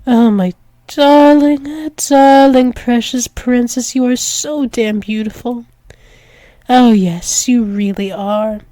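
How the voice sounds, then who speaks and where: A woman plays a dominant female alpha werewolf demon doting on her lover in an ASMR roleplay. Her voice is fairly high and soft-edged, and she speaks extremely slowly, coaxing and soothing with frequent drops into whispers. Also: fairly even intonation, no room sound. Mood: soothing, tender. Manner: coaxing, soothing, slow, often dropping into whispers.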